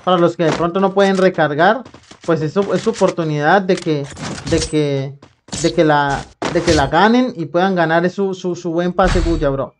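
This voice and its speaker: A man's voice: a little high, moderate pace, background noise. A young Colombian man streaming a game live on a headset mic, greeting viewers by name and calling them brother. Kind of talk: live gameplay stream chat. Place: small room at home.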